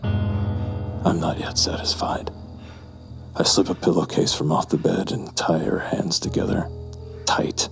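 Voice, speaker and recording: An actor playing a callous, doomed seducer who tells his dark tryst in a slow, brooding horror audio drama style. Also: low voice, wildly swooping pitch, vocal fry, heavily acted. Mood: brooding, entranced. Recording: prominent music under the voice.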